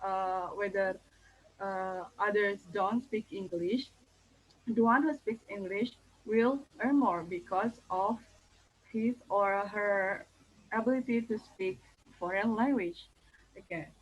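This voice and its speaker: Slow, hesitant Indonesian-accented young woman. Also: mid-range voice, breathy edge, lo-fi sound. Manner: careful, hesitant, many fillers and restarts. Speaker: thesis candidate. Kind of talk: thesis defense presentation.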